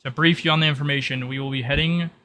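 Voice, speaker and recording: A man's voice: a little high, steady tone, fast talker, sharp tone, a little hiss or hum. A man narrating as game master, explaining the rules and briefing players in piecemeal, hesitant phrases.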